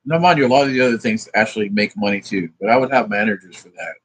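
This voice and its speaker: A man's voice: lowish voice, fast, husky, low-quality recording. A man rambling in a profane, meandering monologue, thinking aloud as he works through sums. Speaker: vlogger.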